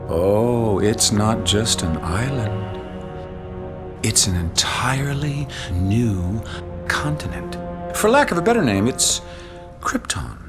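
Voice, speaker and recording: A middle-aged megalomaniac in a video game cutscene, smug and sarcastic. His delivery is slow and theatrical, swinging widely in pitch over a film score. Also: very animated, music in the background, heavily acted. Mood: grandiose. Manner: smug, theatrical, sarcastic, drawn-out emphatic phrasing. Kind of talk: dramatic film-style villain acting.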